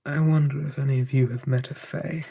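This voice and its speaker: A storyteller telling folklore in a slow, hushed, playful whisper, ASMR style. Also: medium pitch, fairly even intonation, gravelly, a little hiss or hum.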